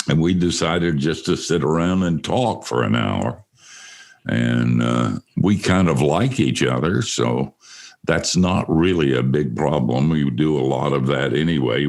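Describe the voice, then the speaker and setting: An older man with a deep voice hosting a casual studio chat, telling stories with self-deprecating humor. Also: darkish tone. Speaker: host.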